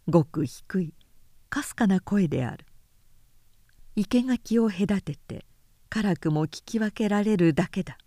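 A woman narrating a literary story in a composed, measured and carefully phrased way. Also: mid-range voice, dark tone, dry recording, studio quality. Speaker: narrator and reader.

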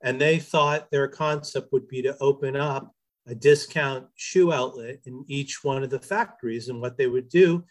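Guy from new hampshire on a podcast, mid pitch, casually telling stories. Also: moderate pace. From New Hampshire, United States. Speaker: podcast guest, business ethics expert. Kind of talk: casual anecdotal storytelling.

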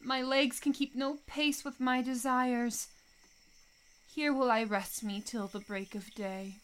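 A woman's voice: a little high, steady tone, takes it slowly, smooth, poor audio. A woman playing an exhausted, woeful young lady in a Shakespearean radio play, speaking plaintive verse. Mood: weary, woeful.

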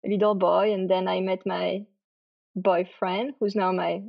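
A woman in her thirties from France with a French accent, answering interview questions in a relaxed way with many fillers. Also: medium pitch, a little slow, vocal fry, dull audio. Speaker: guest author.

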